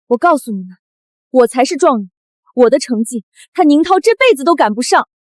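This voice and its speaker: High-voiced, bitterly defiant young daughter. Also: female voice, lively intonation, fairly quick, no echo, studio quality. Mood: cold, defiant. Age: young adult. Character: wronged eighteen-year-old daughter, bitter, defiant, fiercely proud. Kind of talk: melodramatic short-drama acting.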